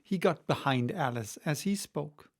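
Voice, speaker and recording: Higher-pitched man reading a storybook. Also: animated, a little slow. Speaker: audiobook narrator. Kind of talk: storybook narration, read aloud.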